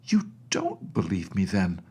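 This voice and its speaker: A man's voice: sits low, wildly swooping pitch, a little slow, a little mellow, husky, heavily acted. A man voicing an earnest, indignant little girl princess in storybook dialogue, emphatic and pleading in a childlike way.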